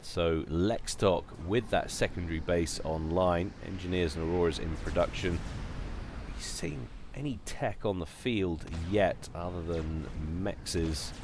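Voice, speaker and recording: A man's voice: low-pitched, a little slow, noisy background. A man casting a strategy game in chatty play-by-play, slightly nasal from a cold. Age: adult. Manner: lively, chatty play-by-play with wry asides. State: has a cold. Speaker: game caster. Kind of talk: live strategy game commentary.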